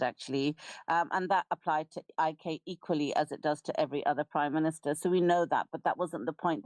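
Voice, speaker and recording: Forceful British woman, low and dry. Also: no echo, low-quality recording. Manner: forceful, lecturing, interrupts and scolds. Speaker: live audio space host. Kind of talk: heated debate on a live audio space.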